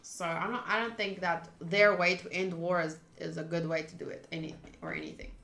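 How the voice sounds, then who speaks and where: A chatty, expressive woman, a native Afrikaans speaker, streaming a game with casual talk and reading aloud. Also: fairly low pitch, fairly quick. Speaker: streamer playing a visual novel. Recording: roomy sound with music underneath. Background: game music.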